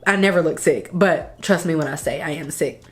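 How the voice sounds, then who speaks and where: A woman's voice: sits low, moderate pace, soft background music. A woman in her thirties chatting casually to camera as a vlogger. She sounds stuffy and congested and rambles with sighs and coughs. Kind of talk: casual chat.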